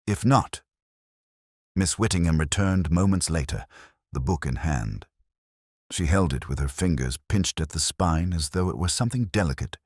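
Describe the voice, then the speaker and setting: A man narrating a scripted literary story in a measured manner, as an audiobook narrator. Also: low-pitched, darkish tone, dry recording, clean studio sound.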